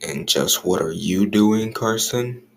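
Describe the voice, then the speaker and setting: A man playing a stern, jealous, irritable office boss in an audio roleplay, curt and guarded, with a gravelly voice. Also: fairly low pitch, slow pace, slight background noise. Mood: irritated, stern. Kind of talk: scripted audio roleplay acting.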